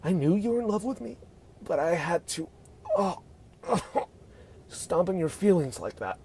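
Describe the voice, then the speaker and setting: A man playing a dying, regretful teenage boy in an amateur script read, with pained, halting delivery. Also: high voice, expressive, a little mellow, breathy edge, heavily acted. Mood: regretful, tender. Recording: noisy home microphone recording.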